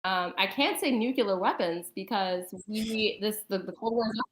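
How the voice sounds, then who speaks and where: A woman with a mid-pitched voice chats casually in a clearly echoey room. She talks in hesitant, looping phrases stuffed with filler words. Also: normal speed. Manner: hesitant, filler-heavy, says like often. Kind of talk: casual chat. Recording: roomy, echoing sound.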